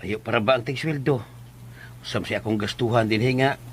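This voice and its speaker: An actor with a Cebuano Filipino accent playing a down-to-earth older father in a radio drama, grumbling fondly and gently scolding. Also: mid-range voice, a little fast, slight background noise, natural voice. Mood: curious, bantering.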